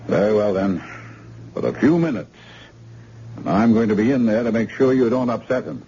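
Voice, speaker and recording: Calm doctor in an old radio drama, actor doing it, lowish voice, lively inflection, muffled sound. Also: male voice, husky. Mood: firm. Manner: measured, reassuring, firm. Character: calm middle-aged hospital doctor, protective of patient. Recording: dull lo-fi old radio recording.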